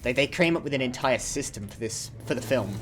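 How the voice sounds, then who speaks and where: A man's voice: medium pitch, animated, fairly quick, faint music behind. Chatty man rambling over gameplay. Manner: rambling, chatty, self-interrupting. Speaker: let's play host. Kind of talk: casual gameplay commentary.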